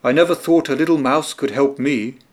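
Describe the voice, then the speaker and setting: A man voicing a big, proud male lion that talks in a gruff, scoffing way. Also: medium pitch, fairly quick, close to an everyday voice.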